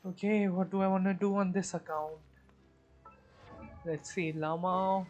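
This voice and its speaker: A woman's voice: fairly low pitch, fairly even intonation, unhurried, darkish tone, dull audio, soft background music. A woman streaming a video game, casually thinking aloud and talking to her chat. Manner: hesitant restarts while counting. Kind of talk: casual live-stream commentary.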